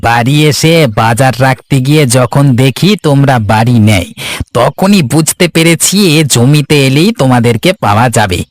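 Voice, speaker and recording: A man's voice: medium pitch, moderate pace, dry recording, natural voice. Man playing an obedient village servant, dry sound. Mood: mildly explaining. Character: obedient, familiar village male household servant. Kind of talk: cartoon story dialogue.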